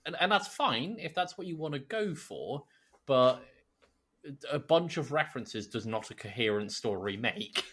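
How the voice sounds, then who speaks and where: Bright-voiced man giving wry podcast opinions. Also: mid-range voice, lively intonation, normal speed, husky. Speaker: podcast co-host. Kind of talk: casual, opinionated review chat.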